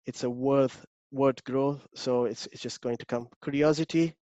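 A man's voice: mid-range voice, steady tone, moderate pace, warm tone, no echo, muffled recording. A man from Portugal with a strong Portuguese accent, presenting a remote workshop as an agile coach, polite and hesitant with many fillers. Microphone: computer mic on video call.

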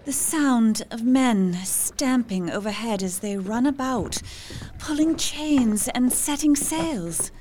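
A haunted young wife, slow. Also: female voice, a little high, expressive, a little mellow, a little hiss or hum. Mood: entranced. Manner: slow, measured, dreamy under trance. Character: young wife, earnest, haunted, under a monster's spell. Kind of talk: audio drama acting.

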